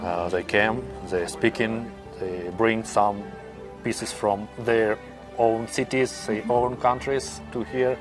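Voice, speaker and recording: Halting Russian-accented man. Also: fairly low pitch, steady tone, music in the background. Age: young adult. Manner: halting, searching English with many pauses. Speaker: interviewee, new immigrant. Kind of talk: casual interview answers.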